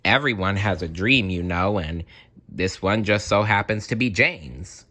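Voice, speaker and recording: Bright-voiced man reading a storybook, unhurried. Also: lowish voice. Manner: expressive, deliberate, with chatty reactions to the story. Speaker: storybook reader. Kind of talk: read-aloud children's story with casual asides.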